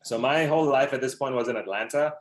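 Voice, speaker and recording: A man's voice: mid-range voice, fast. A man in his thirties from Dubai, a podcast guest telling his life story in a loose, rambling way with many fillers. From Dubai, Middle East. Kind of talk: casual storytelling interview.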